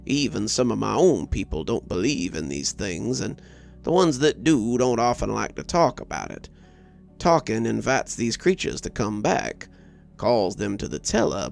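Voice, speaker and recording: A man's voice: medium pitch, very animated, a little slow, vocal fry, soft background music, heavily acted. A man voicing an earnest, confiding male friend who believes in folk creatures, in a story reading. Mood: earnest, cautioning.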